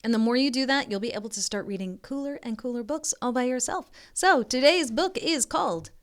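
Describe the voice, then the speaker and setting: A woman reading a picture book aloud to young children as a tutor, expressive and pausing to prompt the listener with questions. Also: fairly high pitch.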